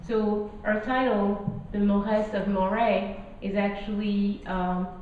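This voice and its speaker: A woman's voice: mid-range voice, little pitch movement, takes it slowly, a little hiss or hum. French lady giving a talk in a gallery, lots of um and uh, far off and echoey, bit muffled. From France. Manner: friendly, hesitant, many fillers. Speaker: lecturer. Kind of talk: public lecture. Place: small gallery room with guests. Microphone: handheld mic, far camera. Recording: roomy, distant, dull lo-fi.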